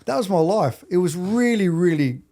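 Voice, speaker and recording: A man's voice: sits high, lively intonation, moderate pace, mellow. An Australian man in his late forties chatting casually as an interview guest, candid and jokingly self-deprecating. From Adelaide, Australia. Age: late 40s. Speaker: podcast guest.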